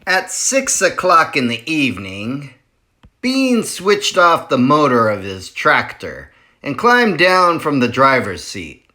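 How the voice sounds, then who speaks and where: Man reading a storybook to kids, bright and lively, slow sing-song delivery. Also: fairly high pitch. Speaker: reader at a school library. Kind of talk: children's chapter book read-aloud.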